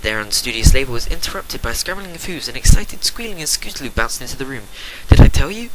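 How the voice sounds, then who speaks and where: Animated man narrating over loud noise. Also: medium pitch, fast talker. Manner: stumbling, self-correcting, amused. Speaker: amateur story reader. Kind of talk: dramatic reading of fan fiction, unrehearsed. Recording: loud background noise with clicks.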